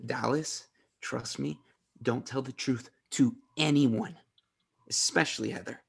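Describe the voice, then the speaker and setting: An actor playing an anxious, loyal teenage son, earnest and pleading, in a comedy table read. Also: male voice, mid-range voice, fairly even intonation, unhurried, vocal fry, slight background noise. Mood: insistent.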